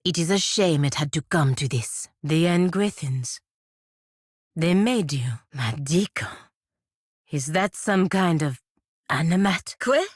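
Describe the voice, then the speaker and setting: A proud warrior woman for a fantasy video game, speaks in a fairly low voice. She is stern and fiercely loyal, choosing her words with formal, deliberate care. The sound is very dry, with no room around it. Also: dry recording. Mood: solemn. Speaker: game character voice actor. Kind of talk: scripted fantasy game dialogue.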